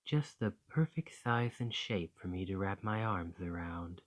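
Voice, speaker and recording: Man acting as a devoted dragon boyfriend, very slow delivery, lowish pitch, ASMR roleplay, reassuring, a little possessive. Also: expressive. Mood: soothing, affectionate. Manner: tender, reassuring, unhurried. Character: affectionate, slightly possessive male dragon boyfriend.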